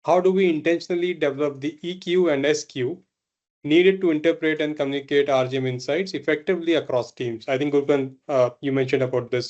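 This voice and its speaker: A man moderating a panel discussion in a friendly way, laughing between the questions he reads out. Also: fairly high pitch. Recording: muffled lo-fi audio.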